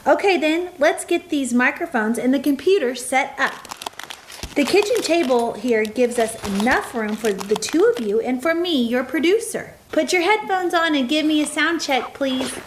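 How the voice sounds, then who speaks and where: A woman playing a brisk, firm mother who gives instructions as producer in a family radio play. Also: sits high, animated, slight background noise.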